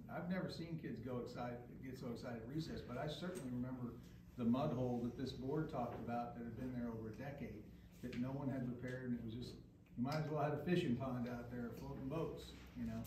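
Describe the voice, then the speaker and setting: A man's voice: medium pitch, brisk. A man answering questions at a school board meeting, talking plainly with many restarts, picked up from across a large meeting room. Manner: conversational, with frequent restarts. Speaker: school administrator. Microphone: far camera. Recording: echoey room, far from the mic, background noise.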